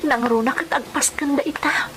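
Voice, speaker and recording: A woman's voice: high voice, moderate pace, close to an everyday voice. A woman playing a poor, long-suffering wife and domestic helper in an emotional radio drama, speaking plaintively with an Ilocano accent. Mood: anguished despair. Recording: music playing behind the voice.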